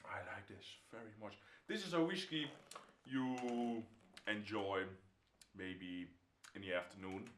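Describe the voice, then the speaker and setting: A young man with a Dutch accent talks through a whiskey at home, relaxed and repeating himself, now and then hushing to a whisper as he sips. His voice sits a bit low. The camera mic picks up a lively, echoey room around him. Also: unhurried. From Netherlands. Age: young adult. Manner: relaxed, repeats words, drops to a whisper while tasting. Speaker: whiskey reviewer. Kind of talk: casual review to camera. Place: indoor home room, seated in armchair.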